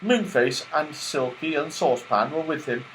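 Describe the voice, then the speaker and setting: A man reading a children's story aloud as an expressive audiobook narrator. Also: on the high side, moderate pace, a little hiss or hum, rough recording. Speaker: audiobook reader.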